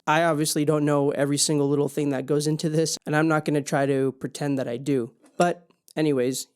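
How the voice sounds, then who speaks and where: A man's voice: fairly high pitch, darkish tone. A man hosting a casual hands-on explainer video, speaking in an informal, plain-spoken way. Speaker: video host.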